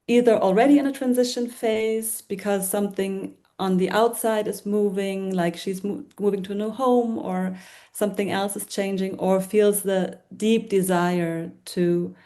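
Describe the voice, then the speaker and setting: Hesitant German-accented woman, mellow voice. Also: medium pitch. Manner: hesitant, with many restarts and fillers. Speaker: workshop guest, decluttering coach.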